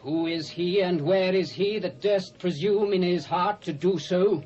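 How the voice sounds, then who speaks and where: A man's voice: sits high, a little slow, a little mellow, soft background music. A British man playing a commanding king of an ancient empire in a scripture audio drama, speaking regally. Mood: indignant. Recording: dull cassette audio with faint noise.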